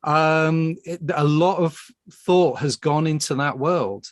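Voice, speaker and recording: A man's voice: a little high, no echo, clean studio sound. A man hosting a casual film discussion, often repeating words as he works out his point. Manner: halting, repeating words as he goes. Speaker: podcast host.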